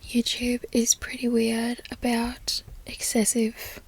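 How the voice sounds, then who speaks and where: A young woman from Florida whispering softly in a chatty, rambling way, as a relaxed ASMR talk. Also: a little high, slow, creaky, breathy edge. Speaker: ASMR creator.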